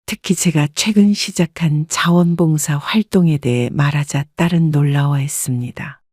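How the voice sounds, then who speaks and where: A woman in her sixties narrating her own story in the first person, calm and reflective. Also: low voice, moderate pace, no room sound, studio quality.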